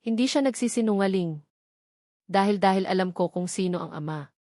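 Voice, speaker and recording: A woman's voice: medium pitch, a little mellow, no echo, studio quality, close to an everyday voice. Woman voicing a remorseful, pleading young man. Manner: halting, pleading. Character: remorseful young man confessing to his best friend.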